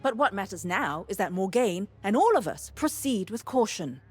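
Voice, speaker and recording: Earnest sage, very expressive pitch. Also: female voice, normal speed, husky, faint music behind. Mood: earnest concern. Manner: earnest, explanatory, concerned. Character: cautious, earnest female botanist sage of long experience. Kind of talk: video game character dialogue.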